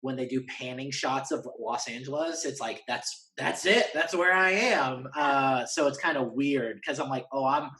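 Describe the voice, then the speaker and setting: A man's voice: mid-range voice, moderate pace, hardly any pauses, pushed and bright. A man from Northern California, chatting casually as an interview guest in a rambling, slangy way. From Northern California, United States. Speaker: interview guest, horror journalist. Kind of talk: casual chat.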